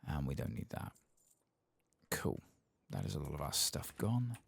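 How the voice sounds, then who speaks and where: A man giving soft-spoken ASMR game commentary, murmuring and often slipping into whispers close to the microphone. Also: rumbling low, huge pitch swings, a little slow, gravelly, slightly rough.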